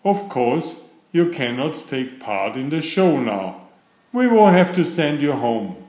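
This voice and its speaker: A man's voice: fairly high pitch, sing-song, slow, a little mellow, reverberant. A man voicing a stern circus director in a children's storybook, scolding or praising with authority. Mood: angry, scolding.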